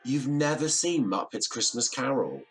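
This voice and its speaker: An actor playing an amiable, low-key, obliging male neighbour in an audio drama. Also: expressive, normal speed, faint music behind, natural voice.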